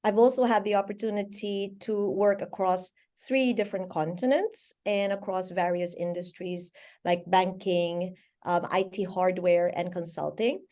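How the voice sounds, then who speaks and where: Woman, from the Philippines, medium pitch, conversational, sales director, webinar panelist. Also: steady tone, unhurried, mellow. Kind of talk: conversational self-introduction on webinar. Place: online video call.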